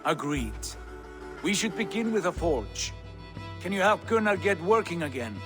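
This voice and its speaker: An actor playing a confident Viking jarl in a video game cutscene, commanding and welcoming in a formal, declamatory way. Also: male voice, sits high, sing-song, moderate pace, over music. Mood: decisive.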